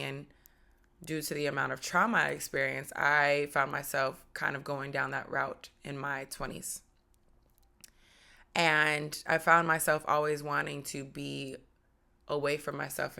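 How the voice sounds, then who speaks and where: A woman in her thirties, a native Portuguese speaker, hosting a podcast and telling her personal story in a candid, reflective, measured way. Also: low voice, creaky, bright, forceful tone. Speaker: podcast host.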